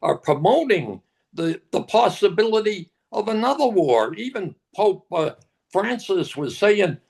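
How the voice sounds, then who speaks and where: An older man speaking as a conference panelist, answering a question slowly with many pauses and fillers. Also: high-pitched, very animated, gravelly, a little mellow. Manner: slow, halting, with many fillers.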